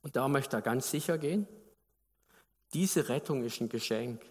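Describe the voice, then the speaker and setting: A man's voice: medium pitch, expressive, normal speed. Man preaching in a reverberant hall. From Baden, Germany. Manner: conversational, asks the congregation questions. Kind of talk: sermon.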